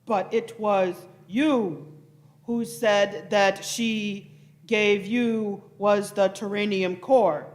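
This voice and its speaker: A performer playing an aloof, suspicious alien delegate in a sci-fi radio play, with drawn-out, disdainful delivery. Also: mid-range voice, steady tone. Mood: accusing.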